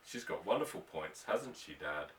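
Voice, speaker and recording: A man voicing an earnest, timid but persistent young boy in an audiobook, with gentle pleading. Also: low voice, expressive, moderate pace, voice pushed down. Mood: uneasy, hopeful. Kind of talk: audiobook character voice. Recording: echoey room, distant microphone.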